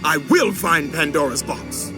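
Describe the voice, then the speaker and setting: A fierce young Spartan captain in a video game cutscene. He speaks slowly and intensely, with big dramatic swings in pitch, declaiming his vengeance. Loud music plays behind him. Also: lively intonation. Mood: vengeful fury.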